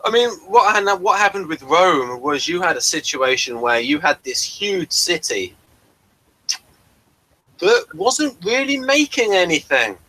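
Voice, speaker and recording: A man with a somewhat high voice and a bright, sharp edge talks in an informal discussion. He lays out his opinions in an explanatory way, restarting and repeating phrases as he builds each point. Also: big pitch swings, unhurried, poor audio. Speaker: podcast reviewer and discussion panelist. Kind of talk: casual discussion.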